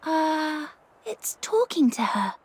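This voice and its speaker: High-pitched, earnest young game heroine. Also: female voice, very animated, slow pace, full character voice. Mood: friendly, explaining. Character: gentle, earnest, eager young woman, nature-loving local guide. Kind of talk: video game voice acting. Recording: loud game music behind.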